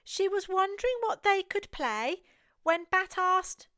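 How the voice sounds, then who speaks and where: Very high-voiced English woman reading slowly. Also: expressive, bright, forceful tone, no echo, clean studio sound. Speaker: children's storyteller. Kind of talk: read-aloud picture book narration for toddlers.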